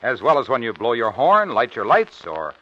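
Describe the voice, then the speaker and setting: A man's voice: medium pitch, huge pitch swings, moderate pace, pushed and bright, no room sound. A man announcing a vintage radio commercial in an emphatic, polished sales style. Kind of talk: 1950s radio ad pitch. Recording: dull, dry old radio recording.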